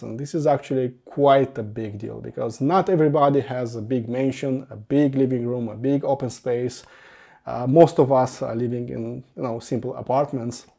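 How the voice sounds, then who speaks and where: A man with a dark, mellow voice of middling pitch delivers a gear review. He starts his phrases with emphasis and lets them settle more softly, in a semi-scripted reviewer's style. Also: vocal fry.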